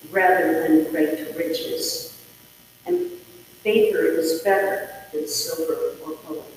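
A woman reading scripture aloud as a church lector, formal, careful and expressive. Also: mid-range voice. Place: large reverberant church. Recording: very echoey hall, distant, faint noise.

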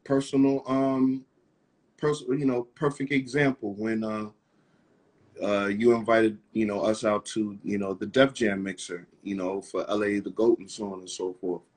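A man's voice: fairly low pitch, level tone, moderate pace, darkish tone. A man interviewing a guest, supportive and hesitant, with many fillers. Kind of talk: interview talk.

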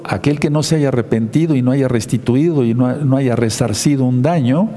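A man preaching a sermon, teaching slowly and repeating his key phrases for emphasis. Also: mid-range voice, warm tone, long reverb tail.

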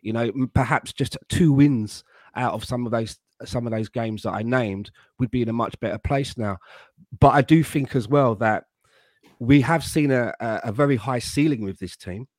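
A man on a football fan panel, speaking in a measured, careful way and restarting as he thinks. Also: medium pitch, darkish tone, dry recording. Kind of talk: casual football fan chat.

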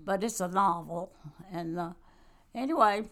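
A woman, talking slowly in a casual interview as a guest and author, with many pauses and fillers. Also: fairly low pitch, a bit bright. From North Carolina. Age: 90s. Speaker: guest, church member and author.

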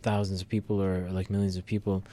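A man's voice: deep voice, little pitch movement, fast talker, warm tone. A man co-hosting a casual political podcast, talking thoughtfully with lots of fillers and restarts. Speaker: podcast co-host.

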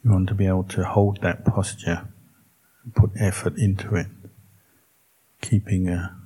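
A man teaching as a Buddhist monk, leading a guided meditation in a calm, measured way with long pauses between short phrases. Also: sits low, husky, low-quality recording.

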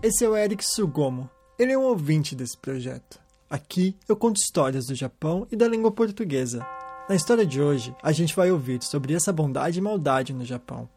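Mellow-voiced male podcast narrator. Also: on the high side, lively intonation, normal speed, slightly rough, soft background music. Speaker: podcast host. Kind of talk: scripted narration. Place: lives in Japan.

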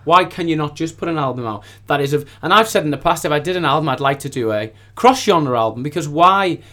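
A man's voice: on the high side, expressive, fast talker, bright, forceful tone. Talkative male singer in studio chat. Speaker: singer and recording artist. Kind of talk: casual studio interview chat.

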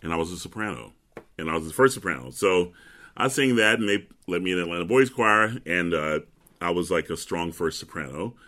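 Middle-aged male bass, very low and resonant, a laid-back storyteller who laughs easily. Interview guest. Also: expressive, fairly quick, sharp tone. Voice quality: deep resonant bass speaking voice. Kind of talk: relaxed interview chat.

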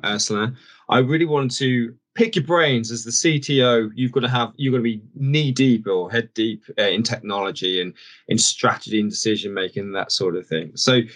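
A man hosting a podcast interview, upbeat and chatty, firing off quick questions. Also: mid-range voice, a bit bright. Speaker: podcast host.

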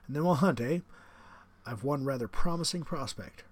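A man's voice: medium pitch, lively intonation, normal speed, husky, voice pushed up. A man doing a suave, menacing old aristocrat hunter. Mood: genteel menace. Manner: genteel, relishing, theatrical emphasis.

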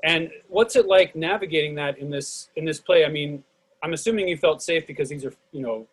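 A man interviewing in a casual, thoughtful discussion, speaking in a halting, roundabout way. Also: a little high, fairly bright tone, husky, poor audio. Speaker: interviewer at a theatre talkback.

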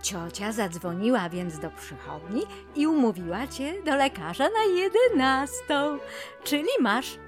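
An actress playing a fussy, bossy older aunt who nags theatrically in a radio play. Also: female voice, fairly high pitch, sing-song, unhurried, over music. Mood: coaxing, fussy. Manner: nagging, theatrical, fussing. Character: fussy, bossy, overbearing older aunt nagging her nephew.

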